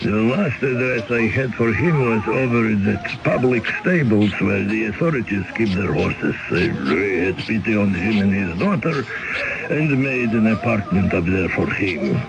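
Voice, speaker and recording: An actor playing a kindly old customs chief in an old-time radio drama, musing and sympathetic. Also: male voice, on the low side, animated, moderate pace, vocal fry, muffled recording. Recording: vintage radio recording with music.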